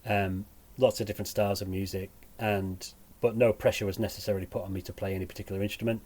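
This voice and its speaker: A man with a northern English accent tinged with Sheffield, chatting as a podcast guest in a halting, wry way. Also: fairly low pitch, little pitch movement, a little mellow. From England. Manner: halting, self-correcting, dryly wry. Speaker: podcast guest, musician and teacher. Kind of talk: casual podcast conversation.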